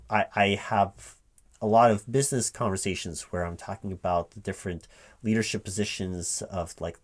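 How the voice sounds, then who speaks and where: Low-voiced man, rambling and halting. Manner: rambling, halting, repeats himself as if puzzled. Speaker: roleplay ASMR performer playing a recruiting manager. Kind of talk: one-sided spoken job interview roleplay, ASMR. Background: computer keyboard typing.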